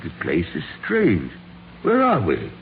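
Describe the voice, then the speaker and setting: Old miser, wildly swinging voice, vintage radio. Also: male voice, deep voice, huge pitch swings, slow, a little hiss or hum, exaggerated voice. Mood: frightened, pleading. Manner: theatrical, hesitant stammering. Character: old miserly man, anxious and pleading with spirits. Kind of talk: 1940s radio acting. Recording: muffled narrow-band 1940s radio recording, faint music.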